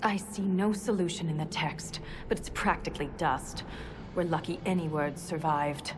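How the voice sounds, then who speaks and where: An actress playing an earnest, sharp-tongued scholarly dwarf woman in a video game cutscene. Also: deep voice, moderate pace, background noise, lightly acted. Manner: scholarly, pointed. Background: game music.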